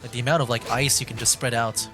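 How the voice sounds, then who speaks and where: A man streaming games with relaxed, informal, casual commentary. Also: medium pitch, fast. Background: game music.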